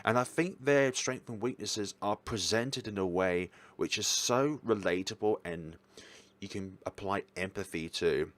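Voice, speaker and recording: Low-pitched man, rambling casual reviewer. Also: a little slow, a bit bright. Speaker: host reviewing visual novels. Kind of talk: casual chatty ranking commentary.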